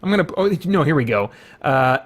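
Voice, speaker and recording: A man's voice: fairly high pitch, expressive, brisk. A man hosting a podcast, running through community news in a casual, unscripted way, thinking aloud as he goes.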